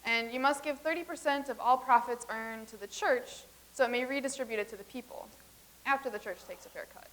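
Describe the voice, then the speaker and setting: A woman's voice: fairly high pitch, brisk, a bit bright, lightly acted. A woman reading the part of a visitor from the far future in a staged comedy reading, explaining everything in an earnest, matter-of-fact way.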